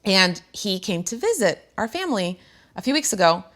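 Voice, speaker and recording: A woman's voice: mid-range voice, very animated, normal speed, a bit bright. Earnest woman sharing a candid personal story. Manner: earnest and emotional, candid, with frequent fillers. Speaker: show host. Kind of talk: candid personal talk to viewers.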